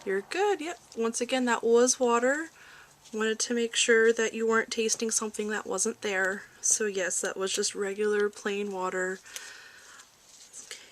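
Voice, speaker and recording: A soft-spoken woman who often slips into a whisper, playing a gentle, reassuring doctor in an ASMR medical exam roleplay. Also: on the high side, fairly even intonation, measured pace. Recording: clearly noisy amateur recording.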